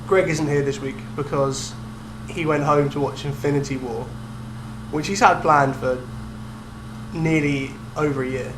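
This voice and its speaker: A man's voice: fairly high pitch, fairly even intonation, measured pace. A young man co-hosting a podcast, chatting casually in an informal, self-deprecating way. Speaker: podcast co-host. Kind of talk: casual chat. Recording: echoey room with background hum.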